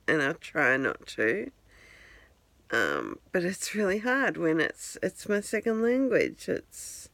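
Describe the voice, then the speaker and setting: A woman's voice: on the low side, gravelly, a bit bright, dry recording. A woman, chatting to her phone camera at home in a rambling, self-deprecating way. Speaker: vlogger. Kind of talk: casual talk to camera. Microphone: handheld phone.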